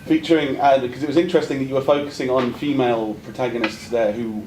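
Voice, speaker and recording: A man's voice: fairly even intonation, fast. A man asking an academic question at a conference, speaking in a polite, hesitant and discursive way. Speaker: audience questioner. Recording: echoey room with faint rumbling noise.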